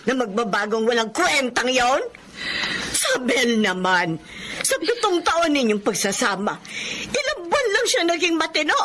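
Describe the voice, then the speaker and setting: An actress playing a blunt, exasperated older sister who nags and scolds in a Filipino radio drama, with a Filipino accent. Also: female voice, high-pitched, big pitch swings, normal speed, a bit bright. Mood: exasperated scolding. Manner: blunt, nagging, indignant.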